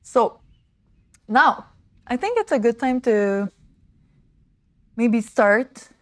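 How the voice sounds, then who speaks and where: Slow, chatty woman streaming makeovers. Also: mid-range voice, dry recording. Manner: rambling, excitable, many fillers like "like" and "um". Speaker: makeover livestream host. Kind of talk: casual chatty livestream talk. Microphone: small desk mic.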